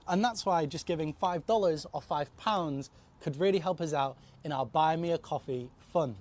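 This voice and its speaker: A man co-hosting a podcast, reading a steady, earnest appeal for listener donations. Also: on the high side. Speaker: podcast co-host.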